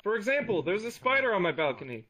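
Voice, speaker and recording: A man reading a comic aloud to friends in an online game session, with playful, deadpan narration. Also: sits high, quick pace, low-quality recording. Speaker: comic reader.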